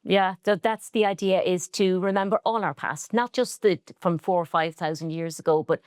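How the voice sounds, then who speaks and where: A woman's voice: on the low side, steady tone, normal speed, smooth, no echo, clean studio sound. A middle-aged woman with a Cork Irish accent, a textile artist talking earnestly and reflectively in an interview. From Ireland. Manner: earnest, reflective, speaks with pride about heritage. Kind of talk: relaxed interview conversation.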